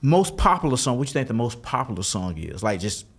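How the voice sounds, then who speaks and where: A middle-aged man hosting a casual interview, laughing often and firing off quick questions. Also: mid-range voice, sing-song. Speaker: podcast host.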